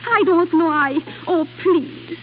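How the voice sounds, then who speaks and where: A woman's voice: extremely high pitch, takes it slowly. An actress playing a delicate, guarded young French noblewoman who flusters easily, in 1930s radio drama style. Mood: agitated. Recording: scratchy, tinny 1930s radio broadcast.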